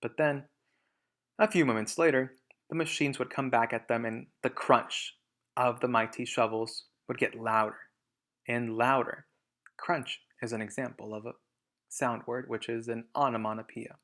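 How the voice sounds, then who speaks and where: A man's voice: mid-range voice, steady tone, normal speed, a bit bright. A man reading a storybook aloud as a teacher, emphatic in narration and explanatory in asides.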